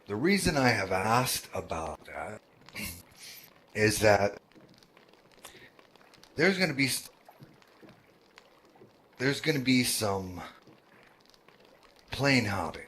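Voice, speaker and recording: A man with a deep voice who runs a roleplay world, talking casually to his group, halting, with many fillers and restarts. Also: a bit bright, slight background noise. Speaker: roleplay game world organizer.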